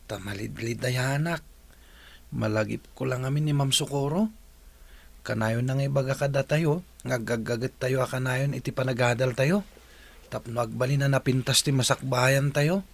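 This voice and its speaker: A man acts an earnest young Ilocano student in a radio drama. His voice is middling in pitch and creaky, and he sounds grateful and hard-working.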